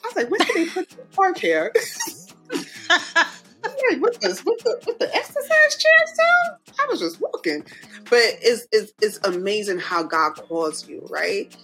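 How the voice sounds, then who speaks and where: A woman from Jamaica with a Jamaican accent, hosting a podcast in lively, emphatic casual chat and laughing often. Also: high-pitched, unhurried, faint music behind, poor audio. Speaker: podcast host. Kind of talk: casual faith-filled chat.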